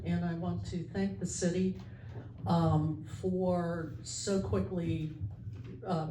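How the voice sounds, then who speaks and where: A woman's voice: on the low side, background noise. A woman representing her neighborhood association, making a formal request at a public meeting with earnest words and hesitant fillers. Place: meeting hall. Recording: echoey hall from far off.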